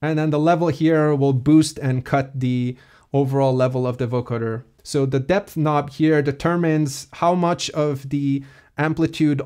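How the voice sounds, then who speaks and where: A man giving a casual, explanatory software walkthrough as a music production tutorial presenter. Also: medium pitch, clear, resonant tone.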